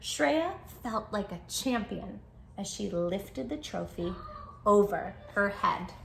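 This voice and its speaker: A woman's voice: mid-range voice, lively intonation, slow, gravelly, slight background noise, low-quality recording. A woman hosting a children's storytime, reading aloud expressively with dramatic emphasis and speaking directly to young listeners. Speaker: children's book read-aloud host. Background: music.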